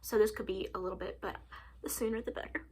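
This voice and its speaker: A woman's voice: medium pitch, fairly even intonation, fast, mellow, slightly rough. Chatty young woman vlogging. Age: 20s. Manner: chatty, lots of likes and ums, laughs often. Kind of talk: casual talk to camera.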